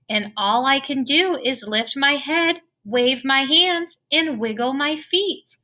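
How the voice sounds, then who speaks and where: A woman reads a children's picture book aloud with a bright, clear voice. Her pitch leaps and swoops, and she takes her time, drawing out the playful sound words. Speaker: storybook reader.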